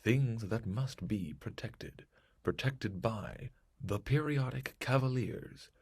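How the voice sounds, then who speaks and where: A man playing the portentous announcer of a 1950s-style space radio serial, with a gravelly, husky voice and dramatic delivery. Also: mid-range voice, slow, darkish tone.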